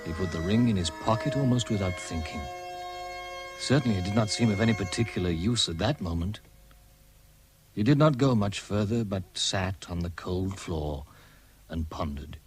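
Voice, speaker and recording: A man giving measured, dramatic scripted narration of a fantasy tale. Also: low-pitched, lively intonation. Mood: measured, hushed. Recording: music under the narration. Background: musical score.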